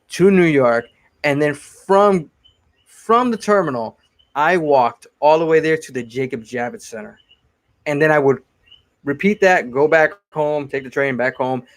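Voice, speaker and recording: A man in his forties from Connecticut, chatting casually on a live stream as he answers viewer questions about his cosplay builds. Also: mid-range voice. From Connecticut, USA. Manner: chatty and rambling, often repeats himself.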